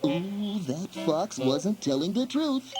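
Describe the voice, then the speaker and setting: A man reads a children's story at an unhurried pace. His narration is expressive and dramatic, rising and falling widely. Music plays clearly behind him. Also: high voice.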